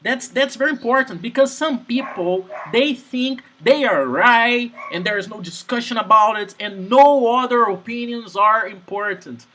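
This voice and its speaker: A man's voice: very high-pitched, moderate pace, few pauses, bright, forceful tone. Man, noisy background. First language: Portuguese. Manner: keeps checking "Understand?", frequent restarts. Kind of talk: casual advice-giving chat.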